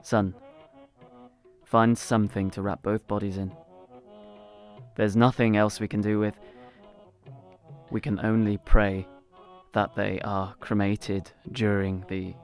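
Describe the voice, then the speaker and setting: A man's voice: lowish voice, little pitch movement, takes it slowly, pauses often, soft background music. A man playing a grieving, resigned father in an audio drama.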